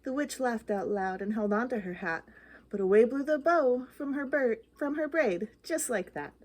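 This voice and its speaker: Female storytime reader, higher pitch, dramatic and rhythmic picture-book delivery. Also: moderate pace, darkish tone. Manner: dramatic, rhythmic emphasis on rhymes. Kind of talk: expressive children's story read-aloud.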